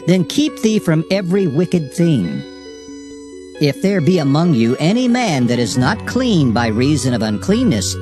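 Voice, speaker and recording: A man giving a solemn, declamatory dramatized scripture reading in measured phrases. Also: a little high. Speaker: Bible reader. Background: music.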